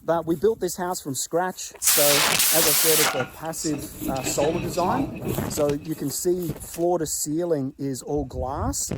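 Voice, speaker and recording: A man with an Australian accent, speaking in a relaxed, chatty way full of "uh" and "like" as he walks around his rural farm for a vlog. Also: a little high, measured pace. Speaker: vlogger. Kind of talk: casual walk-and-talk vlog. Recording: loud outdoor background noise.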